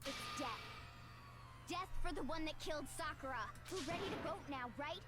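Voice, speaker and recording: Casting: woman for fiery accusing game schoolgirl, piercingly high voice, loud music bed. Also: moderate pace, close to an everyday voice. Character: fiery, accusing teenage girl, excitable and stubborn. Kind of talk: anime video game voice acting.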